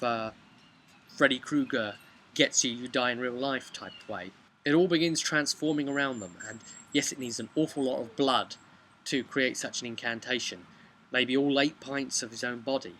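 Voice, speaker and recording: A man's voice: medium pitch, pushed and bright, low-quality recording. A man in his thirties recounting a tabletop game as game master, mixing dramatic narration with casual asides, recorded outdoors. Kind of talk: casual vlog storytelling. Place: outdoors by a brick house wall. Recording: clear outdoor background noise.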